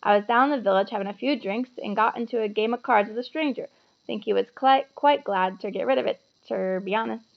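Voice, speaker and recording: A woman's voice: on the high side, brisk, creaky, dull audio. A woman voicing a burly, friendly male gamekeeper in a children's storybook, with folksy, clipped informal speech. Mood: proud, enthusiastic.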